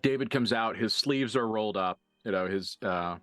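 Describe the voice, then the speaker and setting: A man working as game master, improvising narration for a tabletop roleplaying game with deliberate, halting phrasing and dramatic emphasis. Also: lowish voice, no echo. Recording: dry voice over background music.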